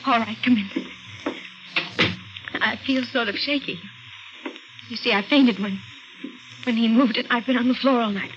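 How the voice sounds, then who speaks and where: An actress plays a shaken young woman in an old radio thriller, her voice high and halting. She stammers and insists, sounding close to panic. The recording is muffled, with clear background noise. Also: steady tone, close to an everyday voice. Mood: anxious, shaken. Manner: halting, breathy stammering, repeating herself. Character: frightened, shaky young woman insisting she saw a corpse. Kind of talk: 1950s radio mystery acting.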